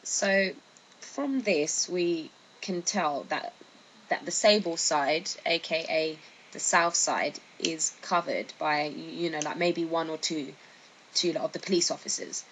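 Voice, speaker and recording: A woman narrates in a low-pitched voice. Her commentary is informal and explanatory, with a hesitant, tentative delivery. There is faint noise behind her. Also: slow, fairly bright tone, low-quality recording. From United Kingdom. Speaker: video narrator.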